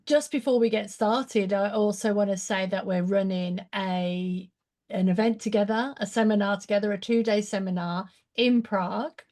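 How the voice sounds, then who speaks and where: A woman hosting a talk show, introducing her guest with effusive, animated praise. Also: medium pitch, normal speed. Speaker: show host. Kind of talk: casual interview chat.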